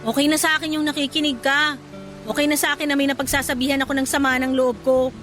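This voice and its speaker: Exasperated female office worker, high-pitched, emphatic, wounded pride, radio drama, faint music underneath. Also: little pitch movement, fast talker, fairly bright tone. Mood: uneasy, sincere. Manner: emphatic, exclamatory complaining. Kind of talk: Filipino radio drama dialogue.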